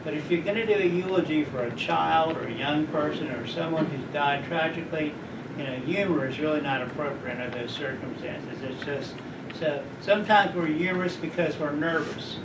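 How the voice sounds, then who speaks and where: A middle-aged man with a fairly high voice speaks to a class in a rambling, anecdotal way and keeps putting questions to the listeners. He is picked up from a distance in an echoey lecture room. A steady hum of background noise runs underneath. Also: moderate pace. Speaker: guest speaker. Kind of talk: informal lecture to students.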